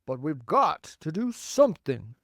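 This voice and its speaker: A man voicing an excitable, alarmist fire chief in a storybook, with a dramatic, fretful delivery. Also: on the high side, a little slow, gravelly, dry recording, clean studio sound, full character voice. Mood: grim, urgent. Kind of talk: storybook character acting.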